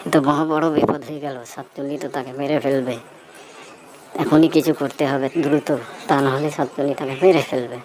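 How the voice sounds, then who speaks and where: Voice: mid-range voice, steady tone, normal speed, close to an everyday voice. Stern cartoon village exorcist as a narrator plays it, urgent commands, level intonation, noisy track. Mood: alarmed.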